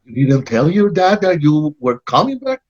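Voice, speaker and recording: A man's voice: fairly high pitch, very animated, moderate pace. Man playing an indignant Puerto Rican man. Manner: indignant, sarcastic. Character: Puerto Rican man raised in New England, indignant, fussy. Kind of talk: play reading. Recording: dull lo-fi audio.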